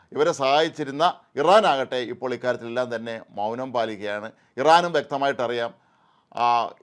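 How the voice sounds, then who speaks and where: A man's voice: mid-range voice, clear, resonant tone. A man with a Malayali accent presenting a news report with commentary.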